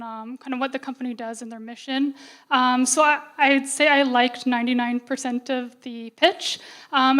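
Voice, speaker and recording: A woman with a high voice gives encouraging spoken feedback as a jury member. She hesitates constantly and fills her gaps with "um" and "like" while she searches for words. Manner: hesitant, many um and like fillers, encouraging. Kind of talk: spoken feedback at a pitch event.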